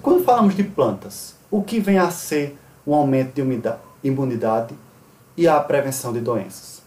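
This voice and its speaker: A man in his thirties from Brazil, giving a recorded online conference lecture in a steady, explanatory way and sometimes correcting himself mid-word. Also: medium pitch, normal speed, recorded from a distance. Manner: steady explanatory delivery with occasional self-corrections. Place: small indoor room. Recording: roomy echo, voice off-mic.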